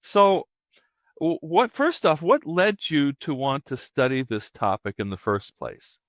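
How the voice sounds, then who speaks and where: A man's voice: fairly high pitch, expressive, measured pace, a bit bright, no room sound, studio quality. A man hosting a podcast, introducing the show and guest in a chatty, rambling way with many fillers. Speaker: podcast host. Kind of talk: casual podcast intro and interview.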